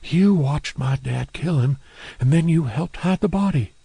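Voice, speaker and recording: A man's voice: on the high side, unhurried, creaky, mellow, husky, dry recording, pitched above the performer's own voice, heavily acted. A man voicing an angry, alarmed young woman in an audiobook, speaking sharply and indignantly. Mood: accusing.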